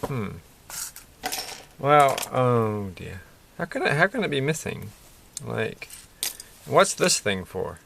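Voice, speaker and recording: Soft-spoken gravelly man. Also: medium pitch, very animated, slow pace, long pauses, a little hiss or hum. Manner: soft-spoken, muttering to himself, self-deprecating. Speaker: vlogger building a toy kit. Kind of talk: casual rambling build commentary. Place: indoors at a desk at night.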